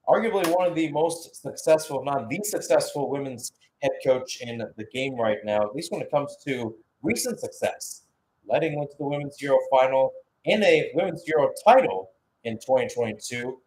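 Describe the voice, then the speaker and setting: A man does live football play-by-play in a radio style, with a mid-pitched voice. He mixes short, clipped calls of the action with chatty, analytical asides. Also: a little hiss or hum, poor audio.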